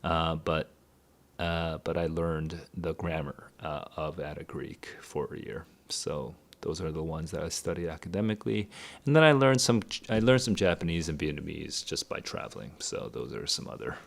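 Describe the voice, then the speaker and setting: A man's voice: very deep voice, normal speed, gravelly. A man in his thirties, a tutor, answering viewer questions in a candid, rambling livestream chat. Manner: candid, rambling, thinks aloud. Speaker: tutor and educator. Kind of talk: casual livestream Q&A.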